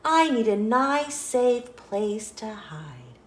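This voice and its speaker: A woman's voice: fairly high pitch, very animated, takes it slowly, reverberant, pitched above the performer's own voice. A woman voicing a fussy, fretful hen from a children's storybook. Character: fussy storybook hen seeking a safe shelter.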